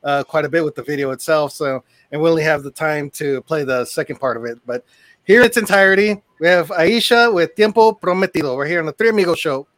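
A man's voice: on the high side, brisk, a bit bright. A man hosting a podcast, upbeat and conversational as he introduces a music video. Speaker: show host.

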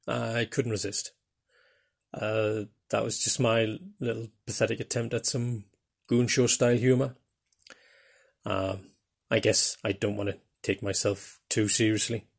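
An older man from northeast England speaks with a broad Geordie accent and a low, gravelly voice. He talks in a loose, self-deprecating way, full of fillers and restarts, as he hosts his own small podcast. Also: level tone, unhurried. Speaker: podcast host. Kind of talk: casual monologue.

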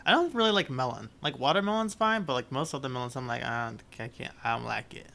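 Joking young man with a bright voice. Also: medium pitch, lively intonation, creaky. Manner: joking, quick interjections, crude. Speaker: podcast co-host. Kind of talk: casual podcast chat.

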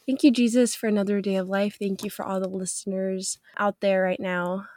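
A woman's voice: medium pitch, flat delivery, fairly quick, a little mellow, no room sound, clean studio sound. A young woman hosting a faith podcast, chatting upbeat and loose with many fillers, her voice stuffy from a cold. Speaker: podcast host. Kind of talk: casual faith podcast chat.

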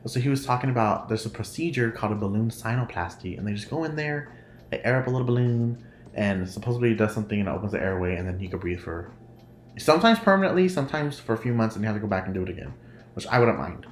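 A man's voice: on the low side, animated, fast talker. A man with a nasal voice hosting a casual solo podcast, rambling and laughing often. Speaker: podcast host. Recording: roomy echo, faint noise.

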